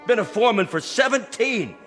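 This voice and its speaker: Bitter drunk foreman, bright forceful voice. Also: high voice, lively intonation, fairly quick. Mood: drunken taunting. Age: middle-aged. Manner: taunting, sarcastic, emphatic. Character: drunk, bitter, defiant veteran factory foreman. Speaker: factory foreman. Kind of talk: mid-century television drama acting. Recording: old TV soundtrack with music behind.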